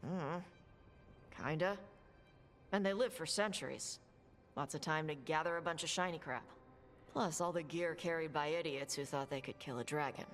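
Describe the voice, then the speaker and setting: A woman playing a blunt, laconic young dragon hunter and treasure seeker in a video game, talking gruffly and informally. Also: deep voice, moderate pace, long pauses. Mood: matter-of-fact. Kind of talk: video game dialogue acting. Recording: music under the dialogue. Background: game music.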